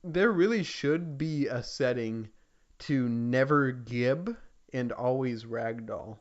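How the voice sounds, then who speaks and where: A man co-hosting a podcast in casual gaming chat, with a hesitant, halting delivery. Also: medium pitch, muffled recording. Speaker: podcast co-host.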